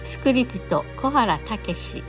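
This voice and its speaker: A woman's voice: on the high side, lively intonation, a little slow. A woman giving a scripted announcement for a radio drama programme. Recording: loud music under the voice.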